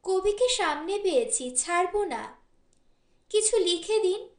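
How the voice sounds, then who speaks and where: A woman's voice: very high-pitched, animated, takes it slowly, voice pushed up, full character voice. A woman narrator voicing a poised, eager woman painter who loves poetry in an audiobook. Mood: playfully insistent. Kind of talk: audiobook character dialogue. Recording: roomy, echoing voice recording.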